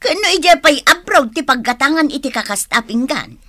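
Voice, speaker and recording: A woman's voice: high-pitched, expressive, moderate pace, pushed and bright, dry recording, clean studio sound. An actress playing a haughty woman who boasts and scolds in an Ilocano radio drama, with a snooty manner. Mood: indignant, snooty. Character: haughty, boastful woman proud of her costly belongings.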